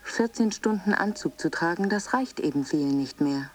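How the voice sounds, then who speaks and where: Low-voiced actress as motivational coach. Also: female voice, expressive, lightly acted. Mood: soothing, instructive. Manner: measured, instructional. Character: soothing female motivational fitness coach. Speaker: actress in a radio drama. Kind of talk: scripted guided relaxation and coaching slogans.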